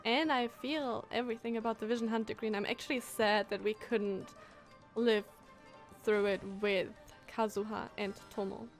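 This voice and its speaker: A woman gives casual gameplay commentary as a streamer. Her voice is fairly high and she reacts emotionally and exclaims often. Faint game music runs behind her. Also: steady tone, normal speed.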